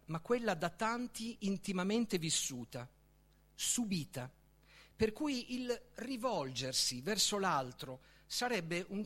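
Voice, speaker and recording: A man reading literary prose aloud in a solemn, theatrical way, with deliberate phrasing and dramatic pauses. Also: sits high, a bit bright. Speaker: author reading from their own book.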